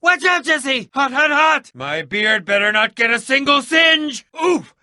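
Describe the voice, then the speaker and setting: An eccentric game adventurer with a bright, forceful voice that swings widely in pitch. He is pompous and sardonic, choosing formal words and delivering them with theatrical flair. The sound is dry and close. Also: very animated, a little slow, no room sound, full character voice. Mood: excited, frantic. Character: eccentric, prickly, melodramatic bearded male adventurer. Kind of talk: animated video game voice acting.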